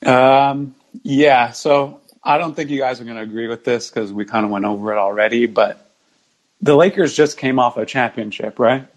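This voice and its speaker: A man's voice: medium pitch, normal speed. A man speaking as a guest in casual sports podcast conversation.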